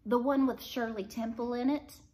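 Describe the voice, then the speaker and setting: A woman's voice: a little high, fairly even intonation, moderate pace, dark tone, pitched above the performer's own voice, natural voice. A woman reading aloud the part of a young girl in a storybook, boastful and earnest as she fibs. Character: Heidi, fibbing young girl, eager and boastful. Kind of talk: storybook character dialogue read aloud.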